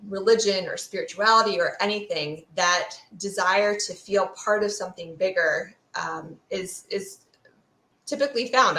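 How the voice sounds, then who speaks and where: A woman's voice: lowish voice, fairly bright tone. A woman speaking as an expert interview guest, explaining things in a thoughtful, discursive, academic way. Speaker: guest expert, fear sociologist. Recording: roomy, echoey sound.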